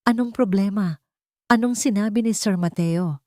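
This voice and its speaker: A woman's voice: medium pitch, normal speed, mellow, dry recording, close to an everyday voice. A woman acts out a distressed audiobook heroine, a wronged working woman with wounded dignity. She speaks earnestly with a Filipino accent. The sound is close and dry. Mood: anxious. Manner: pleading, earnest. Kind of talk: audiobook character acting.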